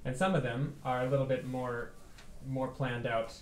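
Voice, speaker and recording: A man's voice: mid-range voice, level tone, moderate pace, roomy sound, slight background noise. A man in his thirties, a pianist, talking casually to a small house concert audience between tunes, thoughtful, with many fillers and restarts.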